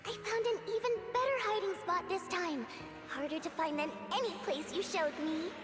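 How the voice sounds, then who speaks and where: Game casting: ghostly little girl, extremely high pitch, sweetly reproachful, under loud music. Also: normal speed. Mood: eerie teasing. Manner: childlike, playful, eerily reproachful. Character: ghostly little girl, sweet yet accusing half-sister. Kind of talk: video game voice acting.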